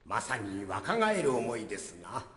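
An actor playing a genial, dignified elderly judge with a ceremonious, polite manner in a staged courtroom skit. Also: male voice, lowish voice, big pitch swings, measured pace, fairly bright tone. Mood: ceremonious, sentimental. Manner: courtly, ceremonious, polite old-man phrasing. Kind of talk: live stage skit acting, formal announcing. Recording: echoey concert hall, long reverb.

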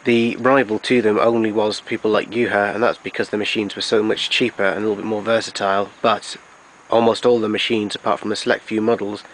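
A man with a fairly low voice narrates an informal product demonstration. He explains things plainly and a little haltingly, like a hobbyist collector. The amateur recording has noticeable noise behind him. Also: fairly bright tone, rough recording. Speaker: collector presenting his tape recorders.

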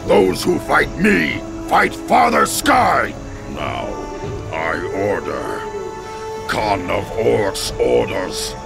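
An actor playing a proud, vengeful orc khan in a video game, terse and declamatory with a gravelly voice. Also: male voice, mid-range voice, huge pitch swings, extremely slow, full character voice. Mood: commanding. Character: commanding, vengeful male orc khan, proud war leader. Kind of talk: video game cutscene acting. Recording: loud game music behind the voice.

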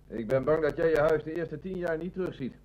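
An actor with a Netherlands Dutch accent playing a wry, needling police sergeant in an old radio drama. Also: male voice, on the high side, fairly quick, narrow, tinny audio, close to an everyday voice. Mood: dry, matter-of-fact.

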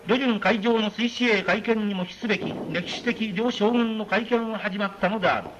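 A man narrating a 1940s newsreel in a solemn, measured, declamatory delivery, on a scratchy old soundtrack. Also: high voice, dull audio.

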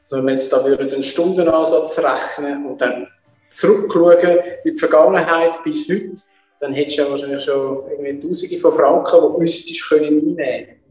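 A man's voice: a little high, steady tone, fairly quick, a little mellow. A man in his forties with a Swiss German accent, asking questions as a casual interview host. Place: indoor martial arts training room. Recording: echoey room reverb, music behind.

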